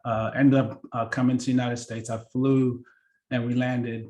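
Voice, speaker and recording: A man in his thirties, born in Kenya and raised in Seattle, giving a relaxed, chatty career talk to students with lots of fillers and restarts. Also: mid-range voice, normal speed. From born in Kenya, grew up in Seattle. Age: 30s. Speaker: workshop presenter.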